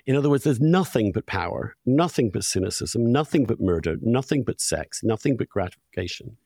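A man's voice: medium pitch, lively intonation, brisk, gravelly, a little mellow, no room sound, studio quality. A man hosting an interview, speaking discursively and emphatically, building his points through repetition. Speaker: podcast host, interviewer.

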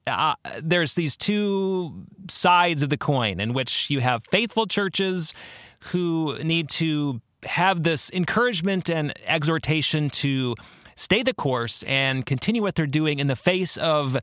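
A man hosting a podcast, explaining theology in a conversational, earnest way with expressive emphasis. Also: fairly high pitch, takes it slowly, no room sound, studio quality. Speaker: podcast host.

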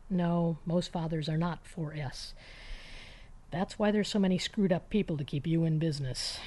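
Wry, low-pitched woman reading a story. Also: darkish tone. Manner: conversational, wry, emphatic, clipped phrases. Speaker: author reading own short story. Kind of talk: dramatic first-person short story narration.

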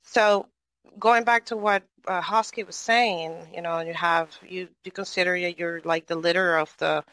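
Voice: mid-range voice, steady tone, unhurried, pushed and bright, no room sound, poor audio. A moderator from Venezuela in a casual online audio chat, asking a long, rambling question and often tagging on "right?".

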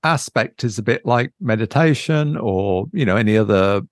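A man's voice: lowish voice, lively intonation, normal speed, no room sound, clean studio sound. A British man chatting casually and unscripted as a podcast co-host, thinking aloud in a hesitant, reflective way.

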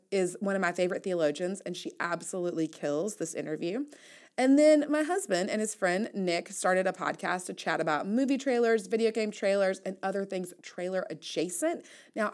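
An American woman in her thirties hosting a solo podcast in a casual, confiding way, with wry, playful and emphatic asides. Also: medium pitch. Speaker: podcast host and newsletter writer.

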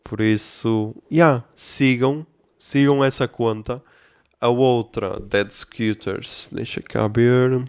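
A man's voice: medium pitch, fairly even intonation, slow pace, darkish tone, clear, resonant tone, no echo. A young man with a European Portuguese accent hosting a casual solo podcast, ranting in a profane, slangy way. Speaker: podcast host.

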